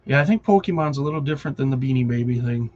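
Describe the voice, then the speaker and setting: A man's voice: medium pitch, racing, darkish tone, highs cut off. A middle-aged man with a Wisconsin accent, chatting casually and rambling on a live stream, often distracted and chuckling.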